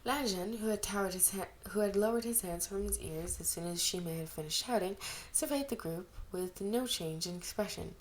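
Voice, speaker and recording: A woman's voice: medium pitch, moderate pace, a little hiss or hum, rough recording. Woman reading a story aloud. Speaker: amateur audiobook reader of fan fiction. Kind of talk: scripted story narration with character voices.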